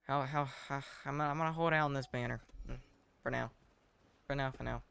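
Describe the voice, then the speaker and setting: A man giving casual live game commentary in a deadpan, self-deprecating way, muttering asides to himself. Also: mid-range voice, brisk, vocal fry, clean tone. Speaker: game streamer.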